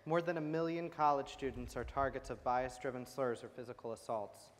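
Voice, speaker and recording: A woman's voice: very deep voice, steady tone, brisk. A young woman giving a terse, declamatory readers theater recitation as a student performer. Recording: reverberant hall with audience noise.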